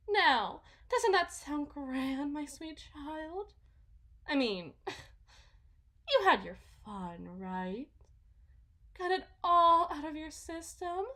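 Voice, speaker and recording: A woman playing a delusional, possessive mother in a dramatic ASMR roleplay, halting and repeating herself theatrically, shifting between hushed and intense. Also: high voice, exaggerated voice. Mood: tender, possessive, then sly menace. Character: delusional, possessive mother smothering her supposed lost child.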